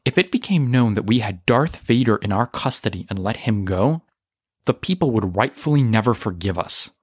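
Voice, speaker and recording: A firm, principled stateswoman of a rebellion, acted by a man, speaking formally in an audio drama. Delivery is measured but expressive, recorded dry. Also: on the low side, slightly rough, dry recording, pitched below the performer's own voice. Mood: stern. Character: composed, principled, firm female rebel leader. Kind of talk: audio drama character reading.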